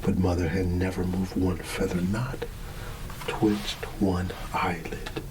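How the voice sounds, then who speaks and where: A man reading a bedtime story in ASMR style, hushed and drawn out, sometimes dropping into a whisper. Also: low-pitched. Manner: hushed, unhurried, drawn-out words with playful asides. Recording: steady background ambience noise. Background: ambient background sound.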